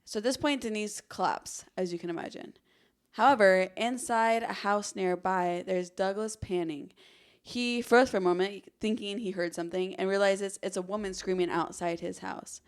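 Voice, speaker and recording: A woman's voice: medium pitch, fairly even intonation, moderate pace. A woman hosting a true crime podcast, telling the story dramatically with casual conversational asides.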